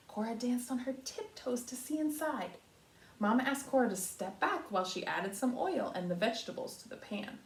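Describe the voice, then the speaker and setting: A woman reading a children's storybook aloud like a kindergarten teacher, expressive and pausing to talk to young listeners. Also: fairly high pitch. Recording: roomy echo.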